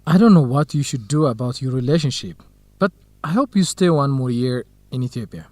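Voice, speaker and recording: A male actor plays a gentle young academic, a loyal and supportive friend. His voice is mid-pitched and recorded dry. The delivery is measured, careful radio drama dialogue with clear diction. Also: animated, no echo, close to an everyday voice.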